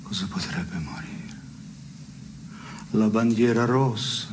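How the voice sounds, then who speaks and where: Deadpan stage man, slow deliberate delivery w long pauses, noisy recording. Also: on the low side. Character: deadpan man solemnly pondering what should die. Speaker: stage actor. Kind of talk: deadpan two-hander theatre dialogue. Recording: clearly noisy background.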